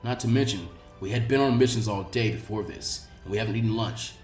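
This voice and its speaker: A man voicing a laid-back adult ninja instructor who is gruff, blunt and exasperated, in a story reading. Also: fairly low pitch, fairly quick, echoey room, recorded from a distance, soft background music, pitched below the performer's own voice, lightly acted. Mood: appraising. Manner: blunt, deadpan.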